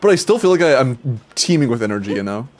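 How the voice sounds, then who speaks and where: A man's voice: medium pitch, big pitch swings, fast. A young man streaming a game and chatting casually with viewers, sounding stuffy and congested, rambling with restarts, casual swearing and laughs. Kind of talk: casual livestream chat with viewers.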